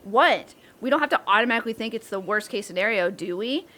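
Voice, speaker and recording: Young monster-hunting camper played by a woman: cocky, playful, soft-hearted tease, slightly high pitch, comedic roleplay. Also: animated, quick pace. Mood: eager, sympathetic. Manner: teasing, brash, playful. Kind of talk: comedic audio roleplay acting.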